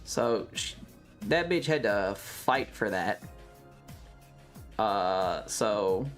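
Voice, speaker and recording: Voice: sits low, animated, extremely slow, faint music behind. A streamer chatting casually to a live audience in a rambling, opinionated, emphatic way with plenty of swearing. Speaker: livestreamer. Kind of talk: casual chat to a livestream audience.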